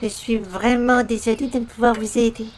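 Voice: mid-range voice, little pitch movement, normal speed, crowd noise, lightly acted. A performer playing an overly courteous, naive visitor in a radio comedy, speaking in a formal, flowery, pompous way. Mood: courteous, puzzled. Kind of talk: 1940s-style radio comedy acting.

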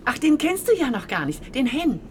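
A woman's voice: sits high, very animated, normal speed, darkish tone. An actress playing a chatty, motherly middle-aged aunt in a radio play, gushing and a bit bossy. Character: chatty, bustling, conciliatory aunt. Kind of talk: German radio play acting. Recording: noisy old radio recording.

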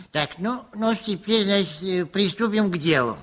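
A male actor playing a kindly, eccentric pharmacist who schemes excitedly, stammering and repeating himself in an old radio play. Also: high-pitched, unhurried, heavily acted. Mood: scheming, eager. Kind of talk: 1960s Soviet radio play acting. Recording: old radio drama with music.

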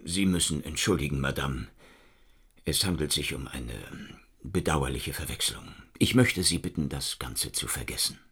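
Ein Schauspieler spielt in einem Hörspiel einen polternden, leicht zu verwirrenden Polizeiinspektor. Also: male voice, extremely low pitch, normal speed, fairly bright tone, breathy edge, heavily acted. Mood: apologetic. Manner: blustering then weary and bewildered. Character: gruff police inspector, middle-aged man, easily rattled. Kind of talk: radio play acting.

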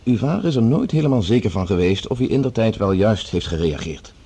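Low, husky, reasonable man. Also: very animated, dark tone, exaggerated voice. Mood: calmly persuasive. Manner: reasoning, measured, diplomatic. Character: reasonable, sceptical male colleague, calm and even-handed arguer. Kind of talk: radio play acting, conversational.

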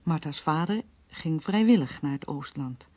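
Narrator for scripted radio play: dark, slightly raspy tone, very dry sound. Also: mid-range voice, lively intonation, normal speed, no echo.

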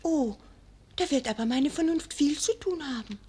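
A woman's voice: high voice, lively intonation, moderate pace, warm tone. An actress playing a naive young noblewoman in a 1940s radio play, earnest and pleading in formal, archaic speech. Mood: artless, coy. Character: naive young noblewoman, earnest maiden wife longing for child.

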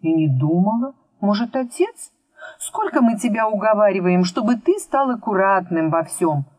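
A caring, mildly reproachful mother in a children's storybook, read by a woman narrator. She speaks in a homely, questioning way with lively intonation at a medium pitch, dry and close. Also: moderate pace, dry recording.

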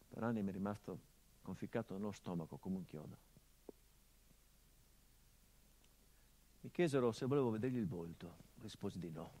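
A man with an Italian accent acting in a radio drama as a grieving grown son, speaking a theatrical monologue that swings from quiet and low to impassioned outbursts. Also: slightly rough, low-quality recording. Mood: reflective. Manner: intense, builds to impassioned outbursts then drops low.

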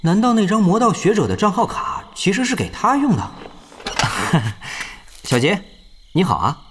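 Flustered fan of a star player, high lively voice, reverb, music. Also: male voice, normal speed, a little hiss or hum. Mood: excited. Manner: flustered, stammering. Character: excitable young male guild officer, star-struck fan.

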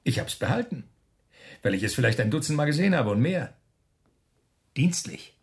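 A man acting in a German radio play as a smug, menacing officer who taunts a prisoner with mocking, drawn-out questions. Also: on the high side, very animated. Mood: taunting, amused.

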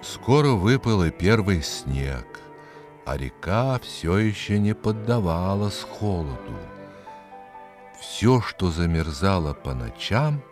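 A man narrating a scripted fairy tale in an expressive, dramatic storytelling manner. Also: low-pitched, takes it slowly, music in the background.

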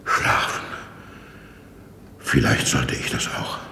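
An actor playing a confused, weary man in a slow radio drama. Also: bright, forceful tone. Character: confused, weary man saying good night. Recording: echoey hall with faint rumbling noise.

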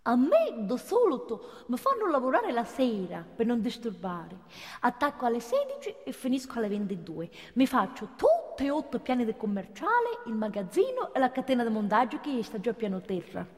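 An actress playing a resigned, humble night-shift cleaner who talks simply and colloquially in a theatrical radio monologue. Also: female voice, fairly high pitch, wildly swooping pitch, moderate pace. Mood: resigned, matter-of-fact. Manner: simple, ungrammatical colloquial speech. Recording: long hall reverb of a stage.

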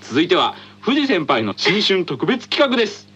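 A man's voice: high voice, wildly swooping pitch, normal speed, pushed and bright, husky. A man co-hosting a radio show in casual banter, amused and protesting in a flustered way.

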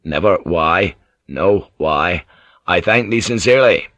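A man's voice: deep voice, expressive, a little slow, a bit bright, no echo, dull audio. A man playing an earnest, gallant man in an audiobook, with formal, archaic phrasing. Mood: ardent, gallant.

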